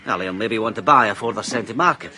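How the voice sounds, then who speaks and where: An actor playing an older Scottish shepherd who patiently explains sheep farming, in a radio drama. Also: male voice, lowish voice, fast, sharp tone, a little hiss or hum. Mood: explaining.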